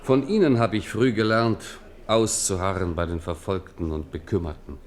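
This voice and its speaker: A man's voice: sits low, moderate pace, natural voice. An actor playing an outspoken old Huguenot poet, frank and earnest with his king, in a German radio play.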